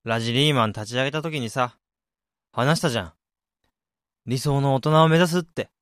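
A man's voice: medium pitch, moderate pace, fairly bright tone, dry recording, clean studio sound, lightly acted. A man, around his thirties, playing a wistful, blunt, introspective man in a radio drama and narrating his reflections. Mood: pensive. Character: wistful man unsure whether he became an ideal adult.

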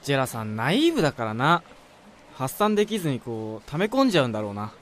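A man's voice: mid-range voice, wildly swooping pitch, quick pace, fairly bright tone, a little hiss or hum. An actor playing a blunt senior office worker who is concerned for his colleague, in a radio drama. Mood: grumbling, sympathetic. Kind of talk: Japanese radio drama acting.

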